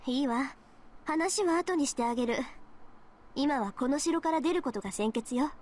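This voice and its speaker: A woman's voice: sits high, lively intonation, fast, darkish tone. An actress playing a proud, blunt teenage girl mage in a Japanese anime visual novel, scolding and assertive. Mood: decisive.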